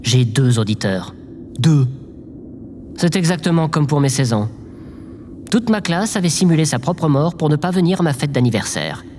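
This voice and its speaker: A man's voice: a little high, lively intonation, normal speed, pauses often, darkish tone, a little hiss or hum, lightly acted. Man playing a hapless podcaster in reverberant space. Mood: weary, forlorn. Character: self-pitying, hapless male amateur podcaster. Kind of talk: audio drama monologue.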